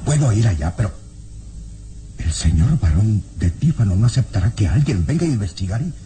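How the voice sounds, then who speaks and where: An actor playing a loyal, hesitant peasant in a radio drama, humble and deferential. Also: male voice, fairly low pitch, big pitch swings, fairly quick, mellow. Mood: hesitant, obedient.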